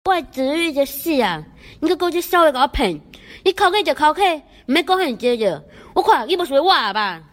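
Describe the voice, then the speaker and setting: Girl doing a blustering angry king, really high voice, taiwanese mandarin, big reverb n music behind. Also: big pitch swings, fairly quick. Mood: furious. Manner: blustering, stammering outbursts. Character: hot-tempered king, father of a dead princess. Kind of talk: amateur radio drama acting. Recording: long echoing hall reverb, music behind.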